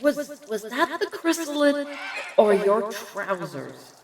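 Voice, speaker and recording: A wide-eyed, uneasy female expedition member played by a woman in a sci-fi audio drama. She speaks slowly in a high voice. The sound is roomy, distant and a little noisy. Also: big pitch swings, darkish tone, full character voice. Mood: anxious. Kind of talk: science fiction audio drama acting. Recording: echoey, distant, faintly noisy.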